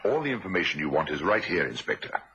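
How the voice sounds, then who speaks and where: A man's voice: low-pitched, big pitch swings, fast talker, dull audio, noisy background. A man playing a precise, matter-of-fact police doctor in a vintage radio crime drama, explaining his findings plainly.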